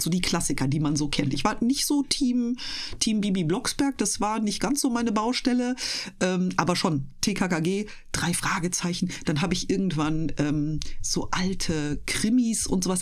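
Female interview guest, slightly low voice, thoughtful colloquial explainer, casual radio chat. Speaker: interview guest, voice actress and streamer.

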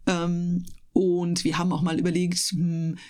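A woman with a slightly husky voice, chatting casually as an interview guest. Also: lowish voice, monotone, measured pace, darkish tone. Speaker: interview guest, audiobook narrator and speaker. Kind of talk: casual chat.

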